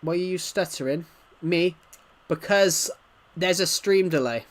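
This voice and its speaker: Male livestream host, slightly high-pitched, casual gamer chat, rambling and stuttering as he reads out comments. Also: a little slow.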